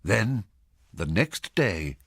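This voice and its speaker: An actor playing a wry, knowing narrator of a radio period drama, husky, deliberate and suspenseful in delivery. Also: male voice, fairly low pitch, big pitch swings, fairly bright tone, exaggerated voice.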